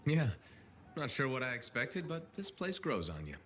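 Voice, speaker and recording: An actor playing a wry, steady freelance pilot hero in a video game, talking in an understated, dry way. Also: male voice, medium pitch, moderate pace, slight background noise. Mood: earnest, wry. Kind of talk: conversational video game voice acting.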